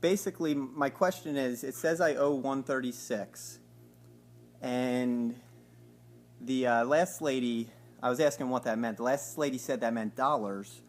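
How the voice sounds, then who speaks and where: A man in his forties at home, asking slow, hesitant, mock-innocent questions on a recorded phone call. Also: mid-range voice, soft background music. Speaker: caller. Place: home living room by a window.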